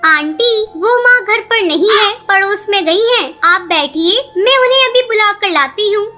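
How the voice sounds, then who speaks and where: A woman's voice: very high-pitched, animated, measured pace, sharp tone, exaggerated voice. A woman voicing an eager, girlish young bird daughter in a children's cartoon, speaking earnestly. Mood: sweet, eager. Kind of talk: Hindi children's cartoon voice acting.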